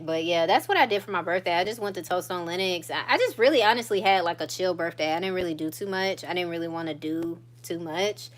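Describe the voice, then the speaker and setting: A woman in her thirties chatting casually to her viewers, relaxed and talkative, often laughing and stretching out her phrases. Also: on the low side. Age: 30s. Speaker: vlogger. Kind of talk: casual catch-up chat to viewers.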